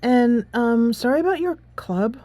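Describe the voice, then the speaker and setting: A woman's voice: fairly high pitch, animated, measured pace, dry recording, voice pushed up. A woman voicing a sweet, anxious teenage schoolgirl in a game, hesitant, polite and apologetic. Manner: hesitant, polite, full of ums. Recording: soft music behind the voice.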